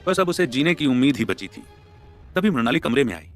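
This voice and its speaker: Male storyteller over clear background music. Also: medium pitch, very animated, a little fast. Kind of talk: scripted audio story narration. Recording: music bed under the voice.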